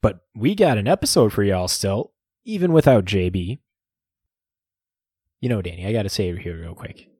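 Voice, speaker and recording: A man's voice: on the low side, animated, moderate pace, pauses often, mellow, husky, no echo, clean studio sound. A man hosting a podcast in a casual, joking way. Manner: laid-back, joking, searches for words. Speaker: podcast host.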